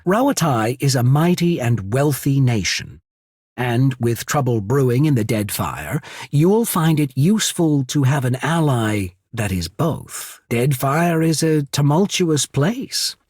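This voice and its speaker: A man's voice: medium pitch, husky, no echo, studio quality. A man voicing a composed, courteous and shrewd diplomat of an island empire in a fantasy video game, speaking formally and with understatement. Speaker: voice actor for a video game character. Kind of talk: scripted fantasy game dialogue.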